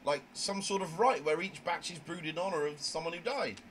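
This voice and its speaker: A man's voice: a little high, a little fast, a bit bright, a little hiss or hum, pitched above the performer's own voice, lightly acted. A man voicing a naive, chatty, prim young newcomer who narrates a visual novel in a wordy, rambling way. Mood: curious, rambling. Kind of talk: amateur visual novel voice acting, first-person narration. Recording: music under the voice. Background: music.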